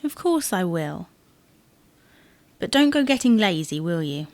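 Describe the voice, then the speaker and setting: A woman's voice: fairly low pitch, very animated, measured pace, darkish tone, no echo. An actress playing a matter-of-fact, defensive wife in a comedy audio drama, needling her husband with dry, pointed retorts. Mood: teasing.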